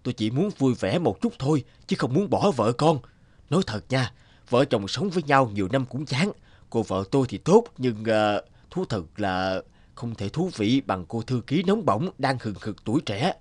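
Smug, blustering cartoon boss. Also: male voice, medium pitch, very animated, normal speed, no echo. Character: smug, suspicious middle-aged philandering company boss. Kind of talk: cartoon dialogue.

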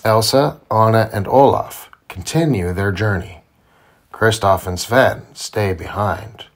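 A man reading a children's storybook aloud to his child in simple, deliberate phrasing. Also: on the low side. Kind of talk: children's read-aloud narration.

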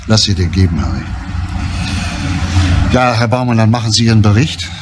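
An actor playing a middle-aged male chief police inspector who talks in a calm, grave, measured way in an old TV crime drama. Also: heavy background noise, lightly acted. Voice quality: low, husky, measured. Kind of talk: 1970s TV crime drama dialogue.

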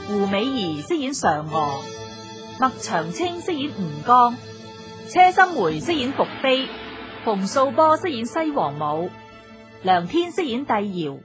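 Lively Hong Kong Cantonese female announcer over music. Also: fairly high pitch, a little slow. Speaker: radio announcer. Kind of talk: scripted credits announcement. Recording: music clearly behind.

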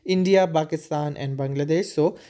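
A man's voice: on the high side, moderate pace, mellow. A man whose first language is Urdu, walking through a screen-recorded tutorial with frequent hesitations and filler sounds. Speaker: tutorial presenter.

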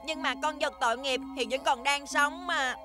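Woman acting young cartoon gnome lad, high voice, earnest, pleading, music behind. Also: fairly even intonation, normal speed, close to an everyday voice. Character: tender-hearted young male gnome, animal lover.

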